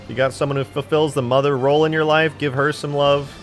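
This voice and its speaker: A man's voice: a little high, little pitch movement, fast talker, soft background music. A man in his twenties chatting casually on a live stream while modding a game, rambling, joking and swearing freely. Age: 20s.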